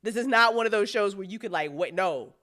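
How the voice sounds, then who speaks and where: A woman's voice: mid-range voice, fairly quick, fairly bright tone. A woman chatting casually as a show's creator, rambling, swearing freely and repeating herself for emphasis. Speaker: show creator and editor. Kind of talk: casual, unscripted live chat.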